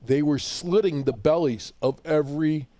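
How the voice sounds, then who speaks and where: A man's voice: fairly high pitch, normal speed, mellow, no echo. Rambling Chicago-accented radio host man. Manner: chatty, rambling, repeats words when starting. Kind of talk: live radio call-in chat.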